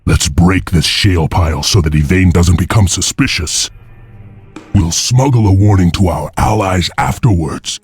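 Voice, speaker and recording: Deep, husky wolf-clan warrior. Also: male voice, normal speed, heavily acted. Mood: grim resolve. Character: stoic, defiant male wolf-clan slave warrior, hairy and grim. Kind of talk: video game cutscene acting. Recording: music behind.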